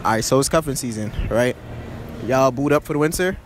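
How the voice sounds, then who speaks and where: A man's voice: mid-range voice, lively intonation, a little hiss or hum. A young man hosting a casual street interview in a shopping mall, asking questions in a slangy, upbeat way and speaking into a phone. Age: young adult. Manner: slangy, upbeat, quick back-and-forth. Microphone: phone held to mouth.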